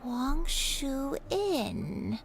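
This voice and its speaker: A woman playing a forgetful, gentle elderly granny in a video game, reminiscing haltingly. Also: high-pitched, very animated, creaky, over music, heavily acted. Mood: wistful.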